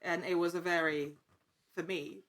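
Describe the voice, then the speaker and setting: A woman talking casually as an interview guest, telling her story in an animated, chatty way. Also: fairly low pitch, moderate pace. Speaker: podcast guest, actor.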